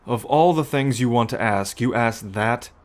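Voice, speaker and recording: A man's voice: mid-range voice, normal speed, natural voice. A man playing an estranged brother in an ASMR roleplay, sheepish and pleading as he talks to his sister.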